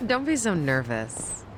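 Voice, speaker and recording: A woman's voice: lowish voice, background noise, exaggerated voice. An actress playing a poised, mysterious and alluring woman in a video game dub, self-assured, coy and teasing. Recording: music behind dialogue.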